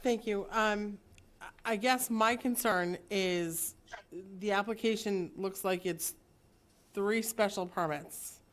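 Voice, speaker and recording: A woman's voice: medium pitch, slow pace. A woman on a town planning board, hesitantly laying out her concerns about an application. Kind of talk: raising concerns at a public meeting.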